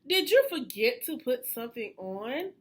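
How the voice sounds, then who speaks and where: A woman's voice: fairly high pitch, sing-song, a little slow. A woman voicing a motherly, nagging frog in a storybook, calling out and chiding with questions. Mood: calling, reminding.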